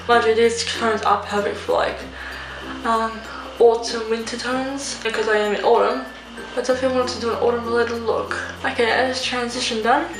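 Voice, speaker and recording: A young woman with a fairly high voice talks through her makeup casually, rambling with frequent ums. She sounds a little nasal, as if coming down with a cold. The room is echoey, with music playing behind her. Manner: chatty, rambling, many ums. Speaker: beauty vlogger. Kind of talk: casual makeup tutorial chat. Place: room at home. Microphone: phone camera. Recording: roomy home recording with music. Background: soft background music.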